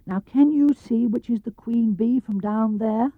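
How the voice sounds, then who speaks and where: A man voicing an earnest, naive toy bear who plots in slow, deliberate phrases for a storybook reading. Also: extremely high pitch, dry recording, pitched above the performer's own voice. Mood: earnest, scheming. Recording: muffled vintage cassette or LP sound.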